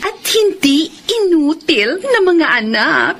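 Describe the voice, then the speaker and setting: A woman's voice: extremely high pitch, sing-song, slow pace, exaggerated voice. A woman playing a seductive, scheming mistress in a radio melodrama, coaxing and insinuating as she speaks. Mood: coaxing, scornful.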